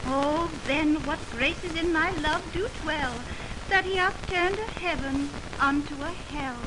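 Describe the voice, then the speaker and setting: A woman's voice: sits high, unhurried. An actress playing an earnest, devoted young Athenian woman in love, declaiming verse in a 1920s radio Shakespeare production. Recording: noisy 1929 radio aircheck on film.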